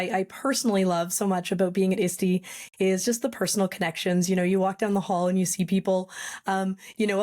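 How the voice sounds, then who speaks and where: A woman with a slightly raspy voice, talking as a podcast guest. She is friendly and chatty and often pauses on fillers as she explains. Her pitch sits in a middle range. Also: a little mellow. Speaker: podcast guest, education technology representative. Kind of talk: podcast interview.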